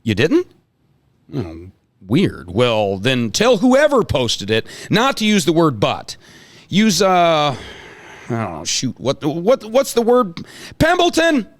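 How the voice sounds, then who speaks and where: A man playing a flustered, long-winded, greedy school principal in an amateur comic table read, halting and exclamatory. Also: fairly high pitch, huge pitch swings, dry recording, full character voice. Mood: exasperated.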